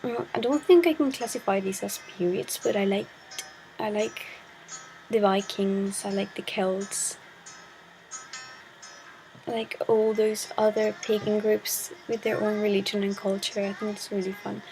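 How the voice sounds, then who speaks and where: Shy teen girl vlogger, gravelly voice. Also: mid-range voice, fairly even intonation, measured pace, a little mellow, faint music behind, rough recording. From Chile. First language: Spanish. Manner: hesitant, shy, searching for words. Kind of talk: casual talk to camera.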